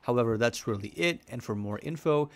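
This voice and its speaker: A man's voice: medium pitch, animated, fairly quick. A man narrating a scripted explainer video as its presenter.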